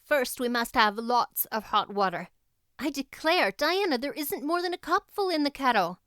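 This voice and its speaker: Plucky storybook girl voiced by a woman, confident, brisk and earnest, raised pitch, crisp tone. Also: lively intonation, fairly bright tone, dry recording, studio quality. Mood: brisk, bossy. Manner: brisk, earnest, take-charge. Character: confident, capable, talkative eleven-year-old girl heroine.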